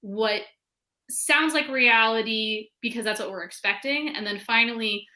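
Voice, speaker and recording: Bright-voiced woman explaining on a panel. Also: on the high side, a little slow. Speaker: panelist, fiction podcast creator. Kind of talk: casual explanatory panel talk.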